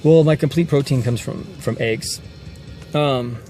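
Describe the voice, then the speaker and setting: A man in his forties speaks casually into a phone held close in a car at night. He rambles, doubling back on words and restarting sentences. His voice sits at a middle pitch, with faint music playing underneath. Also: a little slow, dark tone. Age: 40s. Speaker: fitness vlogger. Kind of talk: casual talk to camera.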